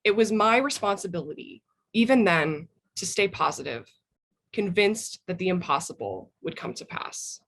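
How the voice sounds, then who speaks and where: A woman, an author, giving an expressive reading of a personal memoir. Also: lowish voice, moderate pace. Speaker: memoirist guest reading from their book.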